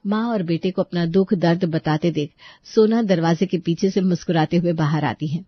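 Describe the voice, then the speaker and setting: A woman's voice: lowish voice, normal speed, no room sound, dull audio. A woman narrator reading a scripted domestic story.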